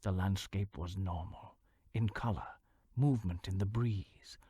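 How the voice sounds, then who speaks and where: A man reads a fantasy novel aloud in a deep, slightly husky voice. His storytelling is measured and steady, dipping into a hushed near-whisper for suspense. The recording is close and dry. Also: no echo. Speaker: audiobook narrator. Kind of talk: scripted fantasy narration.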